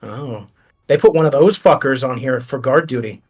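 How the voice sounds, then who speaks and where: Mid-pitched man explaining game rules. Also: normal speed, over music. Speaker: tabletop game player.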